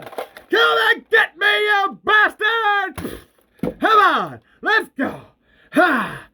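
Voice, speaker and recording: A man's voice: very high voice, bright, forceful tone, slight background noise, pitched above the performer's own voice, heavily acted. A man voicing a reckless, gun-loving man who bellows defiant taunts in a read-aloud action screenplay.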